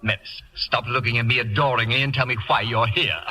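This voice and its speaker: An actor playing a pompous, acid-tongued celebrity houseguest with grandiose, biting delivery in a radio comedy. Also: male voice, medium pitch, steady tone, fairly quick, lightly acted. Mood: biting, grand. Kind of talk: 1940s radio comedy acting. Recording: noisy vintage radio recording with clicks.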